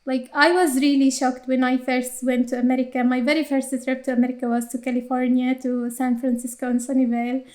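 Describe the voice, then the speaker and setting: A woman's voice: high voice, little pitch movement, a little fast, few pauses, a little mellow. A young woman with an Arabic accent, answering interview questions in a hesitant way, with many fillers and restarts. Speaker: interviewee, company employee.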